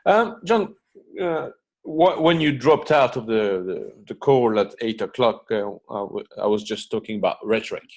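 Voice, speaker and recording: A man's voice: mid-range voice, animated, moderate pace. A man moderating an online meeting in a casual way, with informal, somewhat hesitant phrasing.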